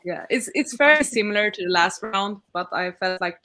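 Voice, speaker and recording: A woman's voice: mid-range voice, animated, normal speed, pushed and bright. A woman hosting a group reading livestream, chatting casually with the others. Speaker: livestream host.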